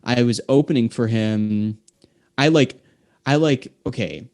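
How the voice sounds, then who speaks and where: A man's voice: mid-range voice, measured pace, darkish tone. A young man, a singer-songwriter guest, chatting casually and telling long, rambling stories packed with filler words. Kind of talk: casual chat.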